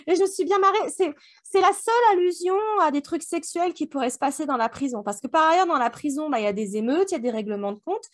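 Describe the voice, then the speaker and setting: A woman's voice: high-pitched, lively intonation. A woman co-hosting a book club podcast, chatting casually and colloquially in a playful, joking way. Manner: playful, colloquial, quick to joke. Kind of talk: casual discussion of an audio drama.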